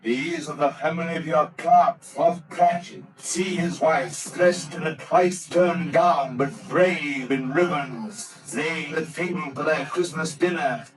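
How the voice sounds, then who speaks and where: An actor playing a jolly giant Christmas spirit in an old radio drama, booming and commanding. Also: male voice, slow pace, few pauses, far from the mic, music in the background, rough recording. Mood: solemn. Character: jolly giant male Ghost of Christmas Present. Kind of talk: 1940s radio acting.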